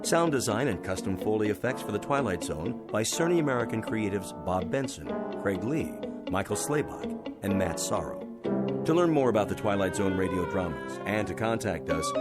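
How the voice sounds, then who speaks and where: Male announcer, low pitch, scripted credits read, loud music underneath.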